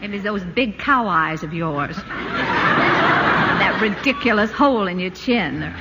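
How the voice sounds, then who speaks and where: An actress playing a fussy, witty suburban wife and mother in a 1940s radio play, precise with her instructions and quick to laugh. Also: mid-range voice, animated, dull audio. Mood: teasing. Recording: hissy old radio broadcast.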